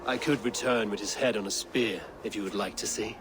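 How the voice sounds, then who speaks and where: An actor playing a stern, terse Viking warrior who speaks in a measured, grave way. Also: male voice, lowish voice, steady tone, noisy background, natural voice.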